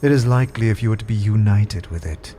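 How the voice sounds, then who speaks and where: An actor playing an imperious, menacing and cunning sorcerer in a fantasy audio drama, with haughty theatrical delivery. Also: male voice, on the low side, fast talker, mellow, slight background noise, natural voice. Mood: knowing, ominous. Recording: music behind the voice.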